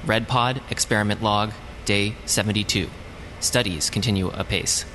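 A man's voice: on the low side, fairly even intonation, normal speed, bright, forceful tone, a little hiss or hum, poor audio. An actor playing a male Antarctic research scientist in an audio drama, dryly joking over a dead radio. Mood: calm, weary. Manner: dry joking, then frantic mayday cries. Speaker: scientist at polar station.

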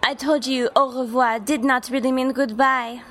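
A woman's voice: high-pitched, fairly even intonation, moderate pace, a bit bright. A woman playing a suave, mockingly flirtatious villainess in a radio drama parody, theatrical and sprinkling phrases. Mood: smug. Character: suave female French-Canadian separatist villain, mockingly flirtatious. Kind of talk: old-time radio drama parody acting.

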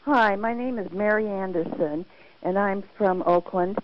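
A woman's voice: medium pitch, a little slow, a little hiss or hum. Exasperated woman on a dull voicemail line. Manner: exasperated, complaining. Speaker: caller leaving a voicemail. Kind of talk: recorded voicemail question.

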